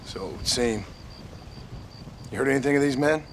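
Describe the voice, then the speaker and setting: An actor playing a laconic, hard-bitten American gunman of the frontier in a video game, terse and deadpan, with an American accent. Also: medium pitch, normal speed, slight background noise, lightly acted. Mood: dry, guarded. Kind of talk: video game cutscene acting.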